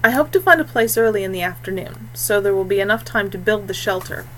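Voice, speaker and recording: A woman's voice: mid-range voice, expressive, quick pace, slight background noise, lightly acted, rough recording. Woman reading as patient young forest guide woman. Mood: patient, practical. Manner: quiet, patient, assured.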